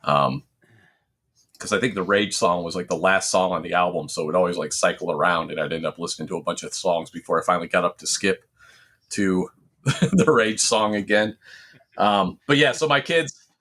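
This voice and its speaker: A man's voice: low voice, moderate pace, fairly bright tone. A middle-aged man chatting casually about pop culture as a podcast co-host, rambling and telling stories with some swearing.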